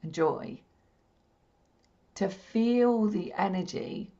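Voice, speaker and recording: A woman with a slightly croaky, hoarse throat, leading a spiritual healing session in a gentle, repetitive, soothing way. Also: medium pitch, very slow. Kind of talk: slow meditative teaching talk. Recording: dull lo-fi stream audio.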